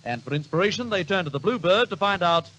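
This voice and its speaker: A man's voice: high-pitched, expressive, quick pace, poor audio. A man compering a variety show with showy, polished patter in an old-time radio announcing style. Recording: vintage radio recording, faint noise.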